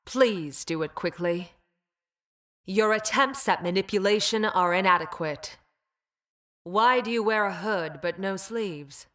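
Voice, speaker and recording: Woman playing a slow, deadpan, logical game creature. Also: medium pitch, natural voice. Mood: cold, reproachful. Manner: deadpan, precise, formal, measured. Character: cold, logical, engineered female creature, former medic. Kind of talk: video game character voice lines.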